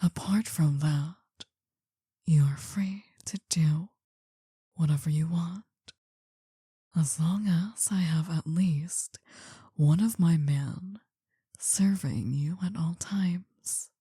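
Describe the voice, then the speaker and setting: A woman's voice: deep voice, takes it slowly, dry recording, studio quality, heavily acted. A woman playing a possessive, world-weary older mafia boss in an intimate whispered ASMR roleplay, tender and confiding. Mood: possessive, coaxing. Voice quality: breathy, soft whisper.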